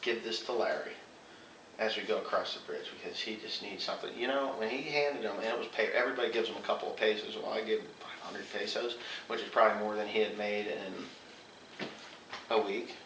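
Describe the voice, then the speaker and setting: A man's voice: on the low side, steady tone, brisk, fairly bright tone. A man casually telling anecdotes, acting out bits of quoted dialogue as he goes. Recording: roomy, distant mic, faint noise.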